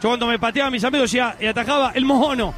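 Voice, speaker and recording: A man with an Argentine accent hosting a radio sports show, talking fast and excitedly in informal chat. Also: very high-pitched, music in the background. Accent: Argentine Spanish.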